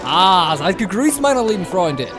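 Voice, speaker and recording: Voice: medium pitch, very animated, measured pace, over music. An actor playing a formal, grandiose pony film director in an audio drama. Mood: grave, formal.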